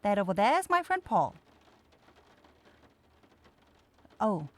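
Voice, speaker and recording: A woman's voice: medium pitch, big pitch swings, a little fast, natural voice. A woman playing a kind young forest witch in an ASMR fantasy roleplay, shy and caring, easily flustered into stammering. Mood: awkward, friendly.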